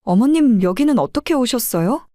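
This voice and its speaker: Sharp, fed-up wife acted by a woman, swinging pitch. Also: expressive, moderate pace, no room sound, studio quality, close to an everyday voice. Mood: taken aback. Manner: confrontational, scolding. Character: fed-up middle-aged working wife, sharp and scornful. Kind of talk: audio drama dialogue.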